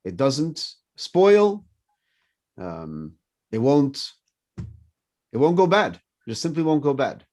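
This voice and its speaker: A man's voice: medium pitch, takes it slowly, pauses often. A man in his fifties whose first language is French, leading a morning class with personal stories and questions put to his listeners. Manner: animated teaching with personal stories and repeated questions. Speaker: rabbi.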